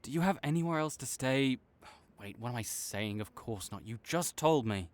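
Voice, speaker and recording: A man playing a tsundere supervillain in an audio roleplay, halting and exasperated, teasing and reluctantly caring toward a rival. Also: mid-range voice, expressive, close to an everyday voice. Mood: exasperated concern. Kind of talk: intimate audio roleplay addressed to the listener.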